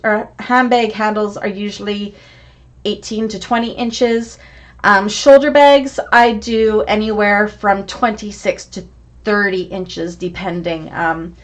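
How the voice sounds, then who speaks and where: A woman teaching a live online sewing class, chatty and encouraging as she answers viewer comments. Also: a little high. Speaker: sewing instructor.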